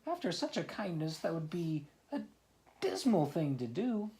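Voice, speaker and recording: A reader voicing a group of small, chubby, naive oysters in a high, pleading voice. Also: very animated, normal speed, mellow. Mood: alarmed.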